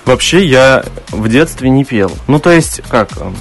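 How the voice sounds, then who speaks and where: A man with a baritone voice answering interview questions in a thoughtful, explanatory, conversational way. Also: lively intonation, unhurried, faint music behind. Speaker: interview guest, classical singer.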